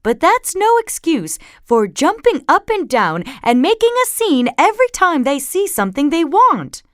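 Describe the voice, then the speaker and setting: A woman voicing a prim, sensible mother bear in a storybook, measured and gently admonishing. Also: high voice, wildly swooping pitch, dry recording, studio quality, pitched above the performer's own voice, full character voice. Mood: disapproving.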